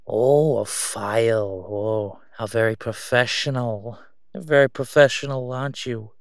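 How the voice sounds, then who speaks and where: A man playing a slick middle-aged crime boss in a roleplay audio drama, with a sarcastic, condescending, smooth-talking delivery. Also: medium pitch, measured pace, dry recording, lightly acted. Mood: mocking, amused. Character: slick middle-aged male crime boss, mocking, sly, self-assured.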